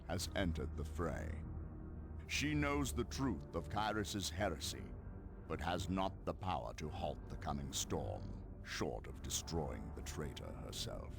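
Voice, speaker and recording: An actor playing a grave, stern Imperial narrator in a video game, speaking in a solemn, ominous, measured way. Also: male voice, low voice, creaky, full character voice. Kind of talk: dramatic scripted game narration.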